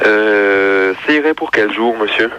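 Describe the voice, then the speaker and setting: A man answers the phone as a bank employee. His voice is fairly low but sharp and forceful, and he speaks haltingly, repeating himself. The line is muffled and slightly noisy. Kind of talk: unscripted customer-service phone talk. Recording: dull, noisy telephone line.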